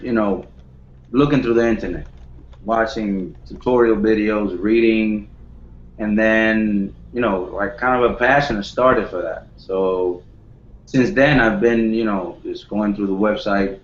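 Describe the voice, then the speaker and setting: Low-voiced young man, echoey lo-fi call. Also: steady tone, a little hiss or hum. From Dominican Republic. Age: 20s. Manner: modest, halting, many restarts and fillers. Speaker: guest, home studio producer. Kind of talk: casual video call chat.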